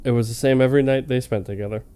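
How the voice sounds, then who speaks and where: A man's voice: mid-range voice, steady tone, very fast, dark tone, clean tone. A man reading fan fiction aloud in an informal, comedic way, tripping over words now and then and prone to joking asides. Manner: breaks into laughter easily. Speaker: reader of fan fiction for a comedy web series.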